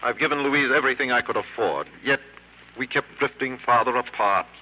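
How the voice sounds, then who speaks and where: A man's voice: medium pitch, brisk, sharp tone. An actor playing a proud, snobbish middle-aged father in a 1950s radio drama, speaking in a pompous, formal way. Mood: hurt, bewildered. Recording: noisy old radio broadcast.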